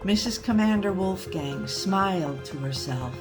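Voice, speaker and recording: A woman storyteller with a slightly low voice takes her time over a comic tale. Her delivery is deliberate and mock-serious, pausing for drama, with music playing faintly behind her. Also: expressive.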